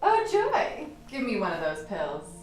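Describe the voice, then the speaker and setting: Woman playing a sardonic, slow-talking scientist. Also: mid-range voice, huge pitch swings, far from the mic, soft background music. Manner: sarcastic, theatrically indignant. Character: sardonic, grumbling female scientist. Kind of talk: radio play acting. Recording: echoey room, away from the mic.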